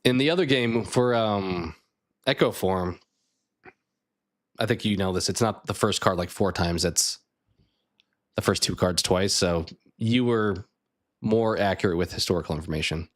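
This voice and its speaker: Guy streaming a deckbuilder, kinda low voice, a bit raspy, just thinking out loud. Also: a little slow, long pauses. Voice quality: slightly raspy. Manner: thinking aloud, chatty, answers chat. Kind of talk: casual live gameplay chat.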